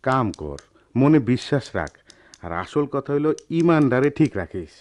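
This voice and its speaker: Male actor as humble goldsmith father, middle-aged, Dhaka-area Bangladeshi accent, earnest, avuncular, animated pitch, radio drama. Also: normal speed, natural voice. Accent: Bangladeshi, Dhaka-area dialect. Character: patient, humble ageing master goldsmith and gentle father.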